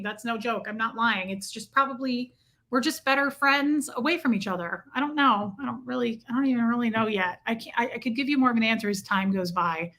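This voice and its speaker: A woman's voice: a little high, pushed and bright. A woman hosting a casual livestream from home, chatting and reacting to viewer comments. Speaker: livestream host reading chat. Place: home room with a heater.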